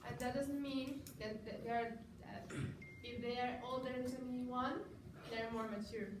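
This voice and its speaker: A nineteen-year-old woman with a Mexican accent, a native Spanish speaker, giving a halting debate rebuttal in English. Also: fairly high pitch, steady tone. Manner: halting, searching for words in English. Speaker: student debater. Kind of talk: spoken rebuttal in a classroom debate. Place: classroom. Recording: echoey, noisy room, mic far away.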